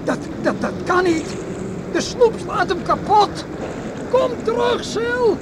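A man's voice: very high-pitched, expressive, slow pace, heavily acted. An actor playing an anxious island man in a radio play, calling out in exclamations. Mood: alarmed. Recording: very noisy radio play, storm wind and sea. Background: roaring wind and waves.

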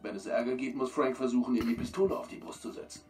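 A man's voice: medium pitch, fast talker, a little mellow, close to an everyday voice. An actor playing a knowing male schemer, explaining intrigue in soap-like thriller dialogue. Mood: knowing, grave. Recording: distant, under prominent music. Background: music.

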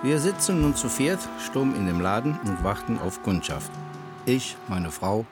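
A man's voice: fairly low pitch, sing-song, unhurried. A man in his sixties narrating in the first person as a shopkeeper, from a script. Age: 60s. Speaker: shopkeeper telling his own view. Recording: loud music behind the voice. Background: prominent music.

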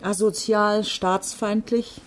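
Woman acting middle-aged former activist, bitter irony, wry halting delivery, radio drama, noticeable background ambience. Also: mid-range voice, fairly even intonation, a little hiss or hum, natural voice. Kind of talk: naturalistic radio drama dialogue.

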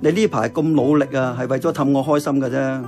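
A man playing an earnest, thoughtful uncle who runs a diner in a radio drama, with a Hong Kong accent and a measured, reflective manner. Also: mid-range voice, steady tone, darkish tone, lightly acted. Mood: gently firm. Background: music.

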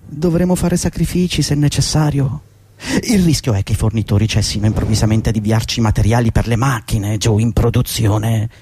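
A man's voice: mid-range voice, a little fast, warm tone, a little hiss or hum, close to an everyday voice. An actor playing an old, loyal, anxious and deferential employee in a radio drama, hesitant and repeating his words. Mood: urgent, worried.

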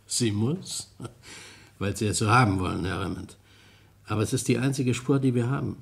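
An actor playing a courteous, slyly ironic police detective in a German radio crime drama, speaking in a measured way. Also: male voice, fairly low pitch, gravelly, breathy edge. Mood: ironic, skeptical. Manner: polite, measured, gently ironic.

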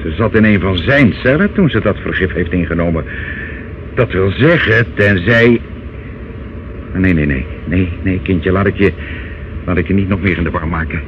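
An actor in a Dutch radio play, playing a self-satisfied, menacing older uncle who wheedles and talks down in a hesitant, smooth way. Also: male voice, low-pitched, moderate pace, long pauses, natural voice. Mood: insinuating. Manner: wheedling, hesitant, condescending, calls listener child. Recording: dull, noisy old radio recording.

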